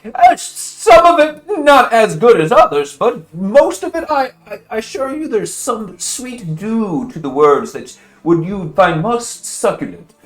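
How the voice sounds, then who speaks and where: A man voicing a nervous, smooth-talking male messenger who stammers and flatters. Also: sits high, unhurried, voice pushed up. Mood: flattering. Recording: roomy echo, faint music.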